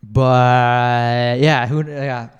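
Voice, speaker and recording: A young man hosting a casual podcast chat, talking in an awkward, halting way with many restarts. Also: mid-range voice, little pitch movement, clear, resonant tone, in a large hall, muffled recording. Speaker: podcast host.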